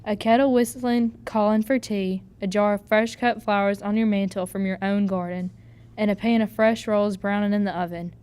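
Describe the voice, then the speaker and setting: A woman's voice: mid-range voice, fairly even intonation, moderate pace, a little mellow, clean tone, natural voice. A woman playing a plucky fourteen-year-old Irish servant girl in a radio drama, earnest, deferential and folksy. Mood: coaxing storyteller.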